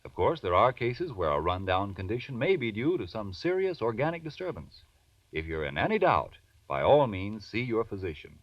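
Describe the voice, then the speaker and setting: A man's voice: on the low side, sing-song, moderate pace, dry recording. An American man announcing and selling in polished, emphatic 1940s radio style. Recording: dull 1940s radio recording.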